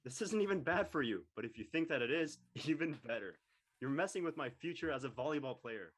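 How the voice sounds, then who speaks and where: A man playing a brash, image-obsessed high-school boy in an amateur script reading, blunt and mocking. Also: lively intonation, a little fast. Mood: teasing. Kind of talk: amateur audio drama table read.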